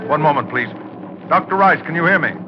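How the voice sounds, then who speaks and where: An actor playing an urgent announcer breaking into a broadcast in 1940s radio style. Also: male voice, fairly high pitch, lively intonation, fairly quick, music in the background, natural voice. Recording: muffled old radio recording.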